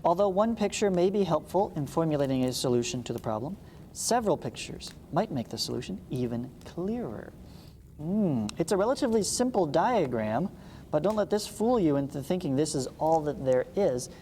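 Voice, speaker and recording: A man's voice: on the high side, very animated, moderate pace, dark tone. A man teaching math, reading a textbook aloud and breaking off for informal explanatory asides. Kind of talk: read-along textbook lesson with casual asides. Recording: reverberant room with background hiss.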